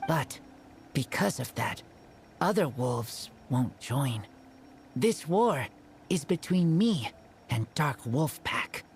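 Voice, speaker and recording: A man voicing an earnest teenage boy hunter raised by wolves in a video game, speaking in halting, terse broken phrases. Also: a little high, big pitch swings, a little hiss or hum, heavily acted. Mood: resolute. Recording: game music behind.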